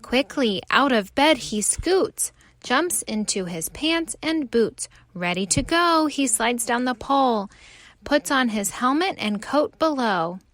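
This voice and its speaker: A woman reading a story aloud for young children in an expressive, theatrical way. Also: on the high side, takes it slowly. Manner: animated, theatrical delivery.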